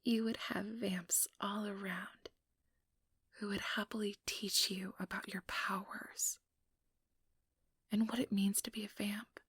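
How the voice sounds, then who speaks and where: A woman plays an ambitious vampire recruiter in a whispered ASMR role-play. Her voice is very husky and breathy, with a creaky edge, captured close and dry. She coaxes softly and deliberately, manipulative yet soothing. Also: mid-range voice, no room sound, heavily acted. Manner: intimate, coaxing, deliberate. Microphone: close microphone, dry.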